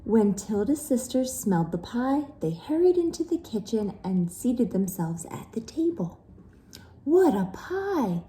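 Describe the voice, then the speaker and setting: A woman's voice: on the high side, sing-song, normal speed, few pauses, mellow, poor audio. A woman narrating a children's storybook expressively, as if reading aloud to young listeners.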